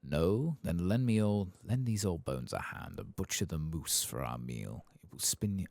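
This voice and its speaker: A man's voice: low voice, lively intonation, normal speed, vocal fry, darkish tone, no echo, studio quality. A man voicing a hearty old trial guide from a fantasy game, speaking in a formal, declamatory storyteller's manner. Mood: hearty, solemn.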